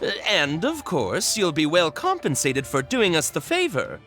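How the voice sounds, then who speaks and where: A man's voice: on the high side, huge pitch swings, measured pace, bright, forceful tone, soft background music, exaggerated voice. A man voicing a flustered, pleading toy shop worker in an anime video game, speaking hesitantly.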